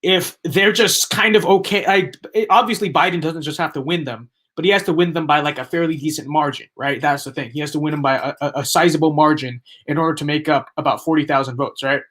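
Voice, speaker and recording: Young male streamer, slightly high voice, rambling and emphatic, voice going hoarse. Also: fast, hardly any pauses, fairly bright tone. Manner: rambling, emphatic, repeats himself. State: losing his voice. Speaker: livestream political commentator. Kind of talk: live-stream commentary.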